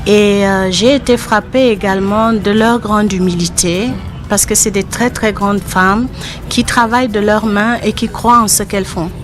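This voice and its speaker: A woman from Cameroon answering interview questions as an insurance agent, explaining things in a measured way. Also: mid-range voice, steady tone, over music.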